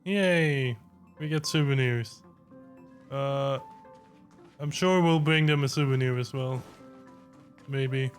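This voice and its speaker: A man's voice: medium pitch, takes it slowly, pauses often. A man giving casual live reaction commentary on a game, hesitant and wry, as if muttering along. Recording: faint game music behind the voice.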